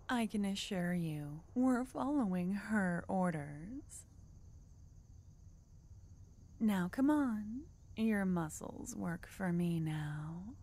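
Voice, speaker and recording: A woman playing an unhinged, flirtatious yandere arsonist in an ASMR roleplay, teasing and conspiratorial in a breathy half-whisper. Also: medium pitch, warm tone. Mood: bossy, assured.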